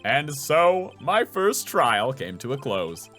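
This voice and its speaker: A man's voice: mid-range voice, sing-song, slow, fairly bright tone, soft background music. A man voicing an earnest young male rookie lawyer in a video game, hesitant and eager. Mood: reflective.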